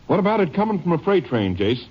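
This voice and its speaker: Folksy western sheriff, lively swooping pitch, inquisitive, old radio drama, muffled and slightly noisy recording. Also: male voice, fast, breathy edge. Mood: puzzled, helpful. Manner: folksy, questioning. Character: plain-spoken, inquisitive male small-town western sheriff.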